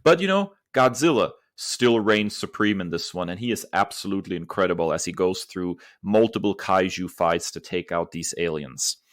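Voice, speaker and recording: A man's voice: deep voice, expressive, normal speed, few pauses, dry recording, clean studio sound. A man co-hosting a podcast, giving emphatic, opinionated and enthusiastic movie commentary. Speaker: podcast co-host. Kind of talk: enthusiastic movie review talk.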